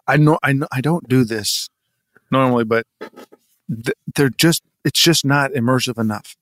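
A man playing an inarticulate, hesitant venue owner in improvised comedy, stammering and halting as he makes demands. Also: medium pitch, fairly bright tone, no echo, natural voice. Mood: awkward, insistent.

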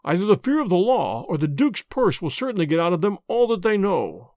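A man voicing an agitated middle-aged male headmaster in an audiobook, speaking with excited, breathless relief. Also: high-pitched, brisk, dry recording, clean studio sound.

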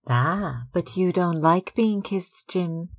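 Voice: mid-range voice, lively intonation, slow pace, pitched above the performer's own voice. A narrator voicing a starry-eyed young actress, breathless and theatrical, in an audiobook. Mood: playful. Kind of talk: audiobook character acting.

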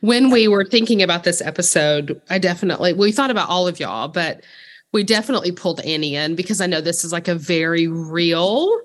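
A woman's voice: lowish voice, brisk, in a large hall. A woman co-hosting a podcast, talking in an earnest, thoughtful way with many fillers. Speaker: podcast co-host. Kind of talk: podcast conversation.

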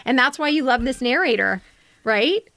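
Enthusiastic higher-pitched woman podcast host. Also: expressive, moderate pace, pushed and bright, no echo. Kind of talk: casual discussion and commentary. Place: recording studio.

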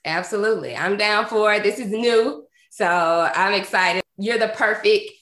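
A woman's voice: medium pitch, moderate pace, reverberant. A woman in her thirties hosting a podcast, upbeat and chatty, sounding a little nasal from congestion. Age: 30s. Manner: upbeat, energetic, laughs often. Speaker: podcast host. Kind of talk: casual conversational interview.

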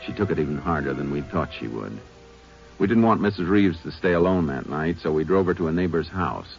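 A deep, steady Texas Ranger. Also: male voice, gravelly, exaggerated voice. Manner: calm, measured, matter-of-fact. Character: steady Texas Ranger, calm lawman narrator. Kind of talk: 1950s radio drama narration and acting. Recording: dull lo-fi old radio recording with music.

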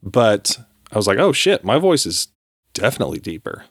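A man's voice: on the low side, very animated, moderate pace, creaky, slightly rough, dry recording, studio quality. A man co-hosting a podcast in casual chat, rambling with restarts and casual swearing. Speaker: podcast co-host.

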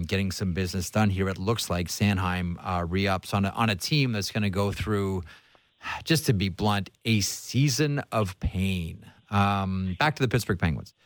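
Lower-voiced man hosting casual hockey talk. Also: no echo. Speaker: sports talk show host.

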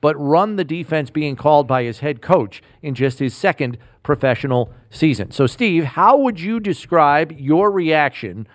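A man hosting a sports podcast, delivering scripted lines in a measured, announcer-like way. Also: fairly high pitch, animated, no room sound, studio quality. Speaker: podcast host.

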